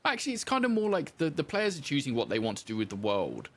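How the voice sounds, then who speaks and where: A man's voice: a little high, wildly swooping pitch, quick pace, fairly bright tone. A man being interviewed as a podcast guest, explaining things in a casual, informal conversational way.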